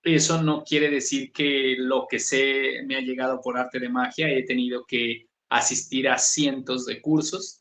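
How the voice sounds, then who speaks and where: A man's voice: fairly bright tone, reverberant. A man from southern Colombia with a Pasto accent, a guest author talking in a thoughtful, reflective way. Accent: Colombian, Pasto region. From southern Colombia, Nariño. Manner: thoughtful, varied pitch, asks "¿sí?" to check in. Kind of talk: reflective conversational interview.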